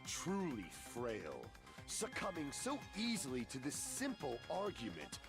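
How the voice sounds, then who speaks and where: A theatrical young villainous overlord from an anime game. He declaims slowly and pompously in a high, swooping voice. Loud music plays behind him. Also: wildly swooping pitch. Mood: scornful, smug. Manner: grandiose, pompous declamation with mocking laughs. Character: bombastic, theatrical young man, self-styled dark overlord. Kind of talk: anime video game voice acting.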